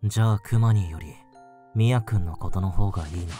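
A man acts out an awkward young office senior from an anime voice drama. His voice is extremely low and relaxed, with a dry, understated deadpan. Faint music runs behind him. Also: brisk, close to an everyday voice. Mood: low-key, sincere. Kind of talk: anime-style voice drama acting.

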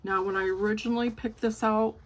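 A woman's voice: a little high, steady tone, brisk, noisy background. A woman in her sixties chatting casually about her quilting projects, rambling with frequent ums and restarts, filmed on a phone in her home craft studio. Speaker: quilting vlogger. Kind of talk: casual show-and-tell chat. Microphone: phone camera at arm's length.

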